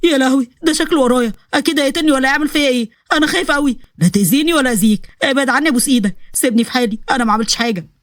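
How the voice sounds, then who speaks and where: A woman with an Egyptian accent voicing a cowardly young male soldier with a lowered, whiny voice, pleading and dramatic. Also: very fast, no room sound, clean studio sound, lightly acted. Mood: terrified.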